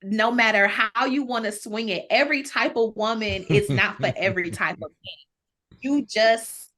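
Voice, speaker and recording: A woman's voice: fairly high pitch, measured pace, pushed and bright. An American woman from Toledo talking casually on a podcast, outspoken and opinionated, with slangy, profane phrasing. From Toledo, United States. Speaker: podcast panelist.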